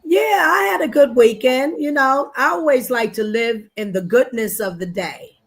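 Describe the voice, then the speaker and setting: A woman's voice: a little high, normal speed, husky. Playful woman co-host. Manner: playful, rhyming, upbeat. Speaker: podcast co-host. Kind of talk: casual chat.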